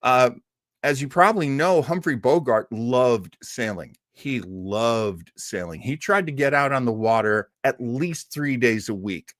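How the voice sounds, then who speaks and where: A man's voice: medium pitch, expressive, unhurried, a bit bright, no room sound. A man hosting a live listening party, presenting casually in a chatty, enthusiastic way with dry humor.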